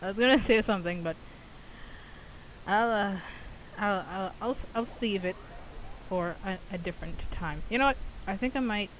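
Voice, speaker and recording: A game streamer giving casual, chatty commentary, often halting and stammering over repeated words. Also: mid-range voice, a bit bright, a little hiss or hum, rough recording.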